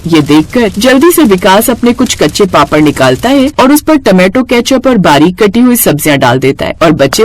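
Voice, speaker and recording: A woman storyteller delivers scripted narration. Her mid-pitched voice moves up and down animatedly as she tells the tale. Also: darkish tone.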